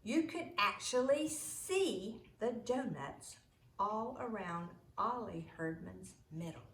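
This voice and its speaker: Woman reading a storybook out loud, slow and really animated, kinda echoey room. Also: medium pitch. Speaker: parent reading a story aloud. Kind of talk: expressive storybook read-aloud.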